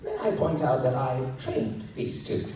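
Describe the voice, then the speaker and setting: A man's voice: medium pitch, animated, measured pace, a crowd in the background, voice pushed up, close to an everyday voice. A man voicing a cheeky, self-satisfied fantasy archlord in a theatrical, teasing manner for a live story reading. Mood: smug. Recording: echoey noisy room, recorded from far off.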